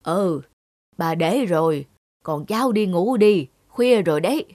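A woman playing a kindly old village grandmother in a children's cartoon, gentle and reassuring. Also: lowish voice, animated, unhurried, no echo, clean studio sound.

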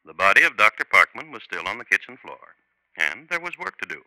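A man hosting and narrating 1950s radio crime drama in dry, deliberate, clipped phrases. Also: lowish voice, very animated, bright, forceful tone, no echo, poor audio. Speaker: host and narrator.

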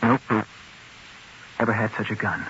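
A man's voice: lowish voice, normal speed, pushed and bright. An actor playing a cornered male politician in a radio drama, defensive and faltering, stumbling over repeated words. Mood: defensive, shaken. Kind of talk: 1970s radio drama acting. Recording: muffled radio recording, faint noise.

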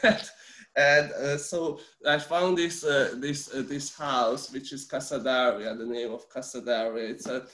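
A man's voice: mid-range voice, steady tone, sharp tone. Hungarian-accented man on an echoey video call. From Romania. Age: 40s. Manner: halting, many restarts and repeated words. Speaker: interview guest. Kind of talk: casual video-call interview.

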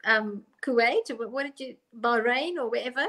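A middle-aged woman giving her opinion haltingly, repeating phrases, in a casual panel discussion on a video call. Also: a little high, pushed and bright. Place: room at home.

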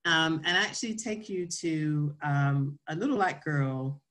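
A woman with a low voice and an American accent tells her own story in an informal talk. She is reflective, building her sentences in short, halting bursts as she goes. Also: fairly bright tone. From Florida, southern United States. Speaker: scientist and research leader giving a talk.

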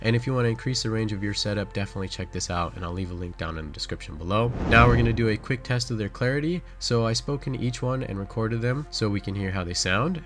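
Fast-talking man narrating a product review. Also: on the low side, a bit bright. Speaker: product reviewer and channel host.

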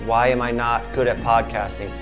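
A man's voice: mid-range voice, level tone, moderate pace, loud music behind the voice. A man in his thirties hosting in a barbershop, teasing his friends in brash, profane banter while he cuts hair. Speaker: barber and host. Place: barbershop set with brick walls.

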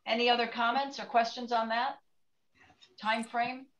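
A woman's voice: fairly high pitch, little pitch movement, fairly bright tone. Woman chairing a meeting from an echoey room. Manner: brisk, organizing, thinking aloud. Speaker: committee chair. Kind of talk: chairing a video-call meeting. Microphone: video call. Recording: echoey distant lo-fi room with noise.

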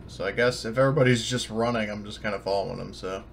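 A man's voice: on the low side, steady tone, fast talker, gravelly. A man playing a tabletop game over voice chat, casually thinking aloud as he works out his move. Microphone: online voice chat. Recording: background noise on voice chat.

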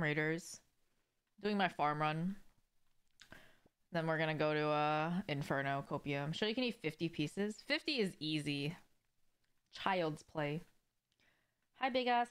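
A young woman talks casually to her livestream viewers while she plays a game. Her voice sits a little low and sounds clean and smooth. She is conversational, addressing people directly from an indoor room. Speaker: live streamer.

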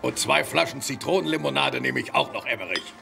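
An actor playing an opinionated, excitable village blacksmith in an emphatic children's audio drama style. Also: male voice, medium pitch, fairly quick, gravelly, music in the background. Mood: cheerful. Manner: emphatic, animated.

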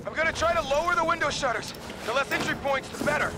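A man's voice: very high voice, fast, very noisy. A man acting a focused, tech-savvy ally under attack in a video game, urgent and practical.

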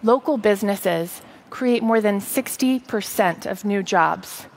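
A woman in her thirties delivering a rehearsed tech keynote on a large stage, speaking in a measured, earnest and articulate way. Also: medium pitch. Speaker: tech company presenter.

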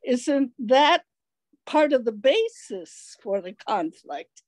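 A woman's voice: high-pitched, big pitch swings, slow, a little mellow, no room sound. An older woman on a video call asking an earnest, musing question from home. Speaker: audience member asking a question. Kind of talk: casual discussion.

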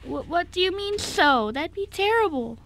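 A woman's voice: very high voice, expressive, normal speed, creaky, a bit bright, a little hiss or hum, pitched above the performer's own voice, heavily acted. A woman voicing a nervous little boy in a radio play, hesitant and pleading as he stammers. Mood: fearful. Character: nervous, anxious little boy piano pupil. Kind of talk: amateur radio play acting.

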